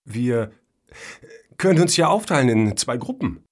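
An actor playing a self-assured, unruffled foundation official in a satirical radio play, dry and needling in manner. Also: male voice, mid-range voice, lively intonation, unhurried. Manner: dry, matter-of-fact, needling.

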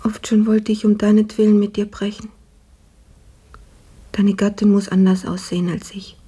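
A woman playing a gravely ill young maidservant in a radio drama, weary and self-effacing, with a breathy voice that often falls to a whisper. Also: medium pitch, flat delivery, mellow, lightly acted. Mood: sorrowful, self-reproaching. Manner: slow, subdued, halting. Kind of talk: literary radio drama acting.

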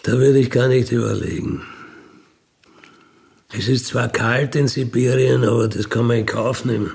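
An actor playing a wistful, dying ex-robber in an intimate radio monologue, halting and musing as he trails into murmurs. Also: mid-range voice, steady tone, gravelly, a little mellow, close to an everyday voice. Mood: yearning, resigned. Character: wistful dying ex-robber dreaming of freedom in Siberia.

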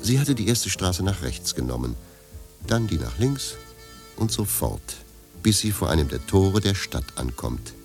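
A man giving scripted literary narration for a radio play in a measured, deadpan manner. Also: low voice, vocal fry, faint music behind.

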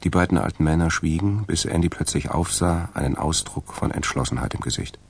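A man narrating a radio crime drama as a detached, dry storyteller with a gravelly, husky voice. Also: sits low, brisk, full character voice. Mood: detached, calm.

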